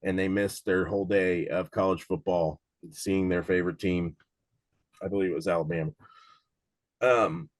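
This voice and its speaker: A man with a slightly low voice, raspy from a lingering cold, teaches an exam prep class over a video call. He talks casually and rambles, restarting sentences and filling gaps with "um" and "uh". The sound is dry and close, with no room around it. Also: steady tone, moderate pace, no echo. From Cincinnati, USA. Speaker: insurance exam instructor. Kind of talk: live online study session.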